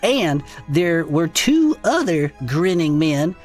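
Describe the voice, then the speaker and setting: A performer playing a folksy, excitable male podcaster in a comedic audio drama, reading strange reports aloud with incredulous asides. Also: mid-range voice, takes it slowly, faint music behind. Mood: amused, incredulous. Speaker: co-host reading case reports.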